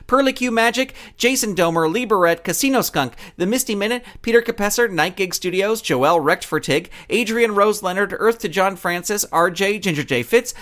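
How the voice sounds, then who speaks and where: A man's voice: high voice, fairly bright tone. A man reading scripted credits and promos as the upbeat announcer of a children's podcast. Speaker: show announcer.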